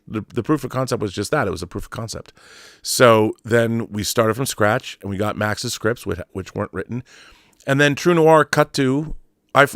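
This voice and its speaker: A man giving a casual, opinionated monologue as a YouTube host, speaking bluntly and haltingly, often repeating words for emphasis. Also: fairly low pitch, a bit bright, no echo, studio quality. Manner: blunt, sweary.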